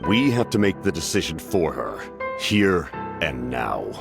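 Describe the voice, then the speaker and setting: An actor playing a grave, calculating military captain in a video game, speaking in a formal, measured and courteous way to persuade. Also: male voice, very deep voice, big pitch swings, gravelly, music in the background, full character voice. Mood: grave, ominous. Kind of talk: English game voice acting.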